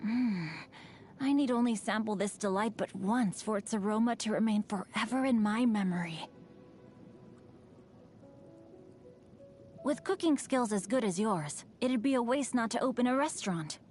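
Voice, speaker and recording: Woman voicing an eloquent, higher-pitched young swordsman, music behind. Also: moderate pace, a little mellow, natural voice. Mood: polite, pleased. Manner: eloquent, formal, polished phrasing. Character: refined, earnest, scholarly young swordsman with a playful streak. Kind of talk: scripted video game character voice lines.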